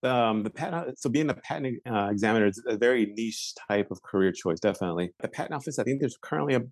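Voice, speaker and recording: A man in his forties answers interview questions about his work. His voice sits a little low and the recording is dry and close. He talks in a measured, thoughtful way and often pauses on fillers and restarts. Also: expressive, no room sound. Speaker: patent examiner, interview guest.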